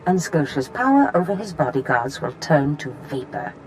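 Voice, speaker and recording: A woman's voice: sits low, big pitch swings, normal speed, darkish tone, slight background noise, poor audio. A woman playing a haughty, scheming Sith master in a video game, theatrical and calculating. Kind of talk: video game dramatic acting.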